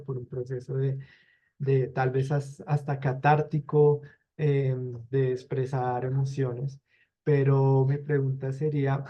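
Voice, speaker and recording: A man, a university teacher, giving academic feedback on a student's project with many fillers and pauses. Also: mid-range voice, lo-fi sound.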